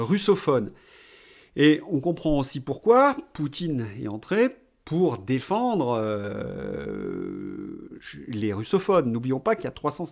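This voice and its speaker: Man, commentary monologue, somewhat high voice, insistent, explaining, stop-start phrasing. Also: a little mellow. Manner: insistent, explaining, many "hein" tags and restarts. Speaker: political commentator. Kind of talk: spoken monologue addressed to viewers.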